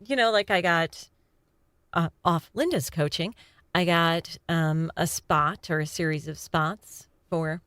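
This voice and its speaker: A middle-aged woman from Wisconsin with a Wisconsin accent, a voice actor talking candidly and self-deprecatingly, laughing and clearing her throat often. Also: deep voice, slow pace, fairly bright tone, no room sound. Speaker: voice actor guest. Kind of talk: casual chat.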